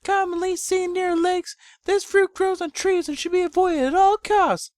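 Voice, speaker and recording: A man voicing a tender, gently chastising pony mother who speaks within the mind, in a fan fiction reading. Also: very high voice, fairly even intonation, moderate pace, no room sound, studio quality, pitched above the performer's own voice. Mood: tender, instructive.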